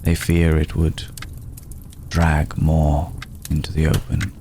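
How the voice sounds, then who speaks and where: A man playing an ancient, aristocratic vampire in an ASMR roleplay, formal, hushed and gravelly, protective and vengeful, with deliberate pauses. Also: rumbling low, completely flat delivery, a little hiss or hum. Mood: cold, vengeful. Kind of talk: ASMR roleplay, slow intimate monologue.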